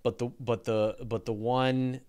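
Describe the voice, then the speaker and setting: Enthusiastic Canadian podcast host. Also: male voice, medium pitch, little pitch movement, measured pace, a little mellow, clean tone. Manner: enthusiastic, jokey, restarts often. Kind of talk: interview.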